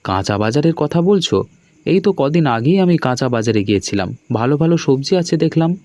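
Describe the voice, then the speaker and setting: A man's voice: fairly high pitch, expressive, fairly quick, dark tone, no echo, close to an everyday voice. A man playing a hospitable, insistent young husband in a cartoon, with a hearty conversational manner. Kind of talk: cartoon dialogue acting. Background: background music.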